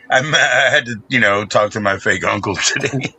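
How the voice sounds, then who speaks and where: A man's voice: mid-range voice, measured pace, pushed and bright, breathy edge, poor audio. A man chatting casually as a podcast host, laid-back, swearing and laughing often. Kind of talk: casual chat.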